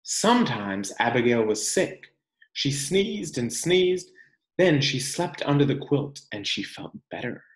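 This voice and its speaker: Guy teacher reading a storybook to kids, really slow and deliberate, echoey room. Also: medium pitch. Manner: expressive, deliberate, pausing for emphasis. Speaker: teacher reading a picture book aloud to children. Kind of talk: read-aloud storytelling with guiding questions.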